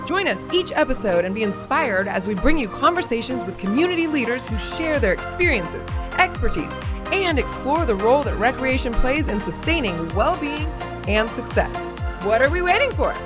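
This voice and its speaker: A woman's voice: sits high, very animated, moderate pace, loud music behind the voice. A woman hosting a podcast, bubbly and enthusiastic, chatting with a guest. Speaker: podcast host. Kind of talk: upbeat podcast interview.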